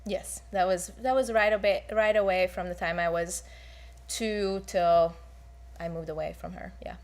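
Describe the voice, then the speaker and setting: Candid young woman, low voice. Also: fairly even intonation, normal speed, a little mellow. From Hungary. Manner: candid, with many fillers. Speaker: guest. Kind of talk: personal testimony in an interview.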